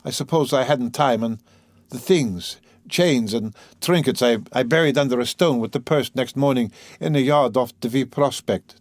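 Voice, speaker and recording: A man voicing an anguished, bitter young murderer in a dramatic audiobook reading, agitated, brooding and halting as he confesses. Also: medium pitch, no echo, pitched above the performer's own voice, close to an everyday voice. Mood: brooding, musing. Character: anguished, guilt-ridden young male murderer confessing, bitter and self-loathing.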